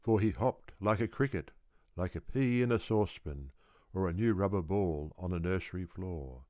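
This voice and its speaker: A man reading a children's story aloud as an audiobook narrator, with deliberate, rhythmic, theatrical phrasing. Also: deep voice, expressive, dark tone, no room sound, clean studio sound. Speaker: audiobook reader.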